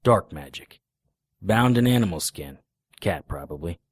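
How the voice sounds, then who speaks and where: A man playing a wry, laconic private detective in a noir audio drama, with deadpan delivery. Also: low-pitched, measured pace, breathy edge, no echo, studio quality, exaggerated voice. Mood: dry, confiding.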